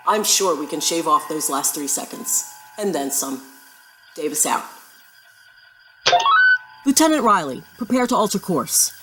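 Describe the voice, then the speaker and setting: A woman playing a confident, good-humoured starship captain in a science fiction audio drama, brisk and commanding. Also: deep voice, sing-song, over music. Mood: formal, authoritative.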